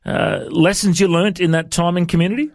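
A man hosts a radio interview in a relaxed, chatty way, often pausing with "uh" and "you know". His voice sits slightly high and sounds close and dry, with no room around it. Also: vocal fry, dry recording. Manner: friendly, informal, with frequent fillers. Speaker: radio show host. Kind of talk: conversational interview.

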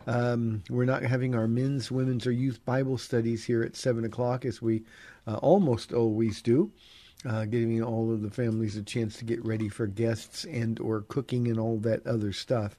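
A male pastor hosts a call-in program from a radio studio, talking through scripture in an easygoing, conversational way. His tone is mellow and warm, pulled a little deeper than usual because he is under the weather. The sound is close and dry, with no room echo. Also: flat delivery, normal speed. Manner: conversational, with frequent "uh" fillers and restarts. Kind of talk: relaxed Bible teaching and announcements.

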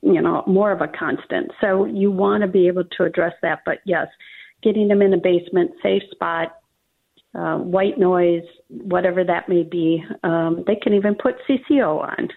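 A woman's voice: lowish voice, normal speed, vocal fry. A woman veterinarian with a Minnesota accent, giving practical pet advice as a guest on a radio call-in show, with many ums and uhs. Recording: phone-line call.

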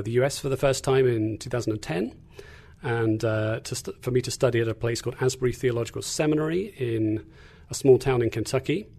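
English man, measured middle-aged teacher. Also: mid-range voice, gravelly, darkish tone. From England. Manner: measured, articulate, with frequent "uh" and "um". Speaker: guest, Bible teacher. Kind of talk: casual interview chat.

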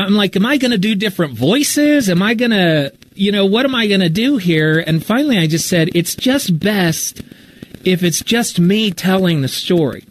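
A man answering questions as a podcast storyteller in a casual live interview, candid and reflective as he thinks aloud. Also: a little high. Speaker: writer and storyteller of a fiction podcast, being interviewed.

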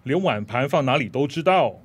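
A man's voice: medium pitch, expressive, a little fast, slightly rough, no echo. An actor playing a stubborn, proud old father in a radio drama, curt and grumpy. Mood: suspicious.

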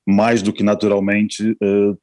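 A man with a Brazilian accent from Rio speaks in a lowish register, chatting casually as a podcast guest. He talks in an unhurried, rambling way and restarts his phrases as he goes. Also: completely flat delivery, darkish tone. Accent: Brazilian Portuguese, from Rio de Janeiro. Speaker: guest, newspaper art director. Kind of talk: casual chat.

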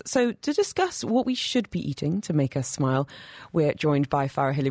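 A woman hosting a radio talk show, her voice sitting a little low. She chats in an upbeat way and laughs easily. The studio sound is completely dry and close. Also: a little mellow, no echo. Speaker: radio host.

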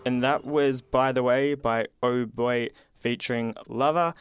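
A man in his twenties with an Australian accent hosting a community radio show in a studio, upbeat and quick. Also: medium pitch, fairly even intonation, soft background music. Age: 20s. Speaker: radio host.

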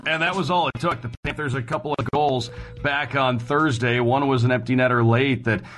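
A male sports radio host recaps hockey news and numbers. His voice sits at an ordinary middle pitch, and he talks in a semi-scripted way. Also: steady tone, moderate pace, a bit bright, faint music behind.

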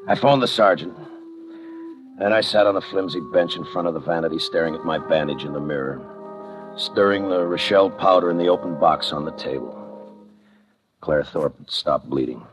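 An actor playing a tough, world-weary homicide lieutenant with a terse, dryly sardonic delivery in a 1950s radio detective drama. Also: male voice, sits low, moderate pace, natural voice. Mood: weary narration. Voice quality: hard-boiled, terse. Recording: dull vintage 1950 radio recording. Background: music.

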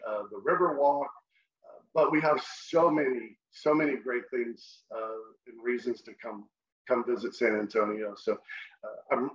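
A man's voice: mid-range voice, reverberant, dull audio. A middle-aged man with a Texan accent, a guest in a casual interview, friendly, often restarting his sentences. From Texas. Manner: friendly, halting with repeated restarts. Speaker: short-term rental host, guest.

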